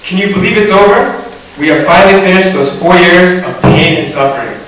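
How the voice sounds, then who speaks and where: Teen guy giving a grad speech, bit high voice, jokey but sincere, heard from far back in a big echoey hall. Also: a little fast, fairly bright tone. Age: teens. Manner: humorous, earnest speech delivery. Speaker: valedictorian. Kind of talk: graduation speech. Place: large echoey hall or gym. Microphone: recorded from far off in the audience. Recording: distant echoey hall recording with hiss.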